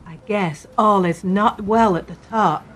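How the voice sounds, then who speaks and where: A woman's voice: mid-range voice, unhurried, noisy background, lightly acted. A woman playing a chatty bank worker who passes on office rumors in a radio play. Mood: gossipy. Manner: chatty, gossipy. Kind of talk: amateur radio play acting.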